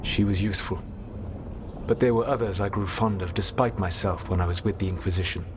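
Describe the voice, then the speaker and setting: A man playing an ancient, proud and regretful elven rebel mage in video game dialogue, speaking in measured, formal, deliberate phrases. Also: sits low, steady tone, natural voice. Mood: wistful. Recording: clear background noise under the voice.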